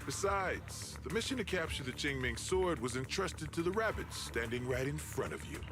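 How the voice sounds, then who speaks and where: A smug video game henchman, pitched fairly high and swinging widely as he taunts. He is condescending and menacing, with music playing behind him. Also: very animated, normal speed. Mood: scornful. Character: smug, menacing male enforcer taunting his opponents.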